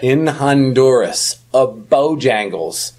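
A man's voice: medium pitch, lively intonation, takes it slowly, a little mellow. A man in his thirties talking casually as the co-host of a podcast. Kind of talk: casual chat.

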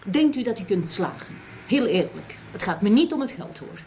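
An actress playing a frank, scornful, devoted middle-aged wife in a radio drama, with an accent from the Netherlands. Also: female voice, mid-range voice, sing-song, moderate pace, a little mellow. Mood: worried, earnest. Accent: Dutch from the Netherlands. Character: outspoken, wronged but devoted middle-aged Dutch wife. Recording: old radio play with background hiss.

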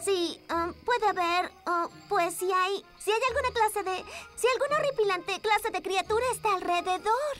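A woman voicing a plucky young girl in a children's cartoon dub, halting as she puts on a brave face. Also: very high-pitched, fairly bright tone. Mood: nervous bravado. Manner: halting, stammering bravado. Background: music.

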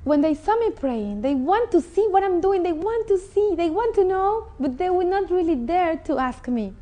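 A woman with a Mexican Spanish accent and a high yet mellow voice, talking earnestly and with feeling. She sounds middle-aged and speaks as a guest on a TV studio set. Her voice comes through close on a clip-on lapel mic. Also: lively intonation, normal speed. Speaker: interview guest. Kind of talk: personal testimony.

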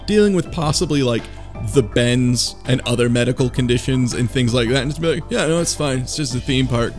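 Rambling man chatting over music. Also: medium pitch. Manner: rambling, animated retelling with acted-out quotes. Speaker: podcast panelist. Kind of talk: casual chat recapping a visual novel.

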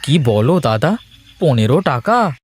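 Man acting an animated village neighbour. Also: mid-range voice, takes it slowly, breathy edge, full character voice. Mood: eager haggling. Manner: animated, colloquial calling. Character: eager, familiar village neighbour hailing and haggling. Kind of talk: Bengali folk-tale cartoon dubbing.

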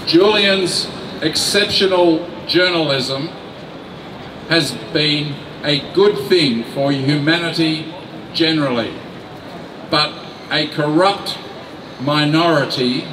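An older man reads a prepared rally speech at a very slow, deliberate pace, stressing key words. His voice sits slightly high. The sound echoes across an open city square, picked up from a distance with faint noise behind. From Australia. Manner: slow, deliberate, emphatic reading from notes. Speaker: rally speaker. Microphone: mic on a stand.

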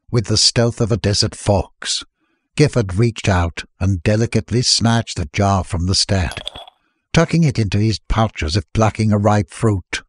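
A man reading a scripted story as an audiobook narrator, with a husky voice. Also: lowish voice, no room sound.

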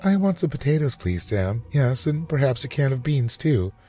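An anxious, polite housewife acted out by a man in a storybook reading. The voice is lifted well up in pitch and swings widely, with an eager, over-sweet manner. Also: big pitch swings, normal speed, dark tone, husky. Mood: bright, casual. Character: housewife feigning brightness and distress, anxious, polite. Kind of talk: storybook character voice.

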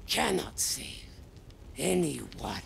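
An actor playing a frail, weary old alien in a video game, gentle and resigned, speaking halting, cryptic broken English that creaks and drops toward a whisper. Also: medium pitch, big pitch swings, slight background noise, heavily acted. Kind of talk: video game cutscene acting. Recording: music under the voice. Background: music.

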